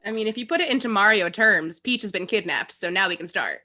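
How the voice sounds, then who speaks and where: Woman, medium pitch, very bright crisp tone, casual conversation, group participant. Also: fast. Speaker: participant and podcaster. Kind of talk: casual chat.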